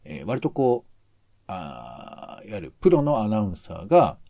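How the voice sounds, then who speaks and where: Animated, explanatory man on a podcast. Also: on the low side, normal speed, vocal fry, dry recording, clean studio sound. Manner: explanatory, thinking aloud. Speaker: podcast co-host. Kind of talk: casual podcast discussion critiquing radio shows.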